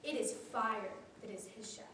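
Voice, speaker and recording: A teenage girl giving a memorized competition speech with a solemn, deliberate delivery that drops to hushed tones. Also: mid-range voice, slight background noise. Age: teens. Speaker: student speaker. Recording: roomy echo from a distant camera.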